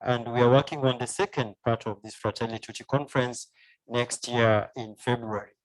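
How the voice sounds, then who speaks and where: Kenyan-accented male professor, mid-pitched, formal interview guest, methodical explainer. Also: fairly even intonation, moderate pace, poor audio.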